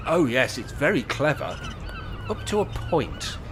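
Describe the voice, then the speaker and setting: A man's voice: a little high, wildly swooping pitch, unhurried, slight background noise. An amateur actor playing a mischievous, cocky older man in a radio play, with a wry, teasing manner. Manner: wry, teasing, feigned innocence. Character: mischievous, cocky older male care-home resident in a wheelchair.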